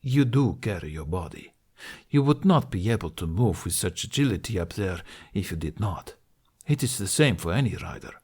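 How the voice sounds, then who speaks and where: A blunt, commanding riding mentor in a fantasy audiobook, played by a man. He speaks in deliberate, clipped, formal phrases with total assurance, and his intonation moves in a lively way. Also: low voice, husky. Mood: firm, explaining. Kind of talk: audiobook character acting.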